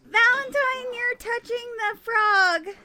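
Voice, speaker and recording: An actress voicing a small, anxious female sidekick creature in an audio drama, with a fretful, shrill, cartoonish voice. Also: extremely slow, bright, forceful tone, full character voice. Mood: panicked.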